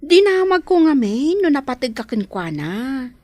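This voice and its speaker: A woman's voice: high voice, sing-song, unhurried, dark tone, no echo. A woman with an Ilocano accent playing a nosy, teasing household helper and confidante in an audio drama. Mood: eager, teasing.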